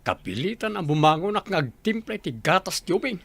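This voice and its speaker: A man's voice: high voice, very animated, a little fast, gravelly, a bit bright, no room sound, full character voice. A man playing an aggrieved, grumbling boarder and young father in a radio drama, complaining in a Filipino accent. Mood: grumbling, weary.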